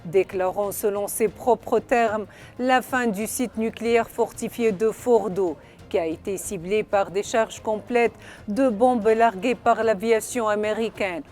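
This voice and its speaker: A woman narrating a scripted news report in a formal, measured delivery. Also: mid-range voice, a little mellow. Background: background music.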